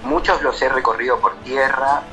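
An Argentine man in his thirties, a painter answering interview questions casually, with hesitant pauses and restarts. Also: mid-range voice, steady tone, music in the background, rough recording. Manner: hesitant, many fillers and restarts.

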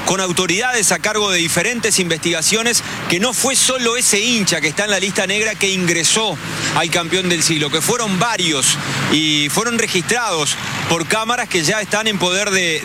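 Male news reporter over street noise. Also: sits high. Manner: measured, slightly halting news delivery.

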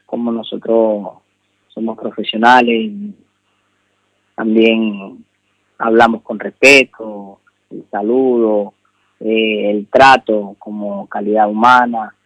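A man in his thirties with a Venezuelan accent, a polite radio guest who speaks haltingly and repeats himself, on dull phone-in audio. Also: fairly low pitch, little pitch movement, fairly bright tone, no room sound. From Maracaibo, Venezuela. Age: 30s. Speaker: jockey, interview guest. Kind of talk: conversational radio interview.

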